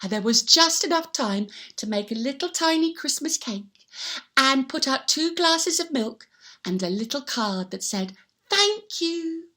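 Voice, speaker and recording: Female children's storyteller, high and slow in delivery, theatrical and animated. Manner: dramatic, with repeated sound words and hushed asides.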